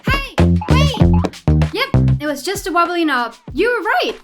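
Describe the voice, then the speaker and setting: Plucky young beaver assistant played by a woman, high and animated, earnest, wholesome cartoon delivery. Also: measured pace, loud music behind the voice, heavily acted. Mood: cheerful. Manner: earnest, eager.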